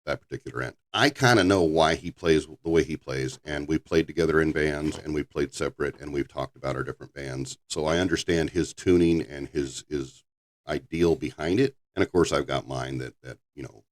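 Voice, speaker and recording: An older man with a very low voice, hosting a casual podcast chat, rambling and halting with frequent restarts. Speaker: podcast host.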